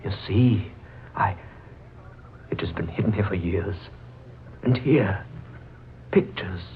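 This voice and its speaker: A man's voice: low-pitched, slow pace. Bewildered man with an ancestor's spirit in him; 1940s radio drama, boxy lo-fi sound, faint hiss. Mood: solemn intensity. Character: bewildered man speaking as a long-dead ancestor, excited, haunted. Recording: muffled, hissy 1940s radio recording.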